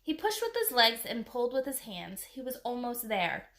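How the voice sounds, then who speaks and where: Higher-pitched woman reading a children's book. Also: big pitch swings, normal speed, a bit bright. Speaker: storybook reader. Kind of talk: children's book read aloud.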